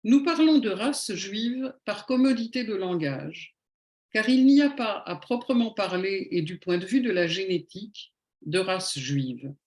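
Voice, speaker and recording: A woman giving a prepared public lecture in a didactic, rhetorical manner, with emphatic phrasing and deliberate pauses. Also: medium pitch, animated, darkish tone. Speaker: lecturer, conference speaker. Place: indoor room at a public talk. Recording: echoey room.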